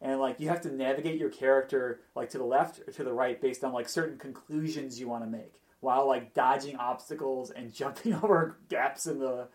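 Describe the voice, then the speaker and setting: A man's voice: medium pitch, steady tone, brisk, few pauses. A man in a casual podcast chat about games, explaining things in a hesitant, rambling way full of filler words. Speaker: podcast panelist discussing video games.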